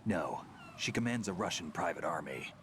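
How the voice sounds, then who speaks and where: An actor playing a gruff, clipped middle-aged soldier speaking over a radio link in a game. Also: male voice, low-pitched, animated, normal speed, background noise.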